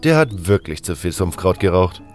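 A man's voice: deep voice, big pitch swings, moderate pace. A man voicing a seasoned, pragmatic scout, self-assured and no-nonsense, in a fantasy audio drama. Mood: confident, wry. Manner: assertive, no-nonsense. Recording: music under the voice.

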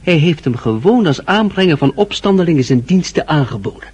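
An actor playing a talkative French gentleman and loyal friend in a radio drama, telling things emphatically in a husky voice. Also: a little high, animated, a little fast. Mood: amused, confiding. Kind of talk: period radio drama acting.

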